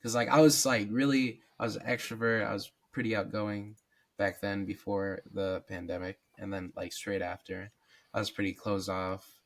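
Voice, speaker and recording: Young guy, raspy today, low voice, halting and wistful. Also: level tone. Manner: hesitant, wistful, many fillers. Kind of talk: casual chat.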